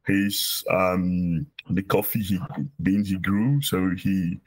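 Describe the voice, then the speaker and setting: A man's voice: low-pitched, little pitch movement, slow, low-quality recording. A man in his forties from Cameroon, a guest on a video call interview, speaking with many hesitations and restarts. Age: 40s. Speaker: guest, operational research professor. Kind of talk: casual interview chat. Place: small office indoors. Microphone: video call webcam.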